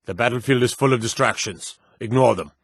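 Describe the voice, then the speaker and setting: An actor playing a gruff, proud Nord guard in a fantasy video game, blunt and curt with folksy rustic phrasing. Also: male voice, mid-range voice, fairly quick, no room sound, clean studio sound. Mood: stern, instructing.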